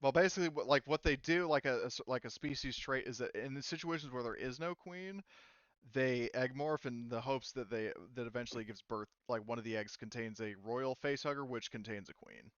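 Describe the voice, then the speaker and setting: A man with a smooth, resonant voice rambles through lore as game master, full of "like" and "um." His voice comes through dry and a little dull, as on an online call. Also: mid-range voice, brisk, no room sound. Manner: rambling, filler-heavy explaining. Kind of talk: casual out-of-character explanation.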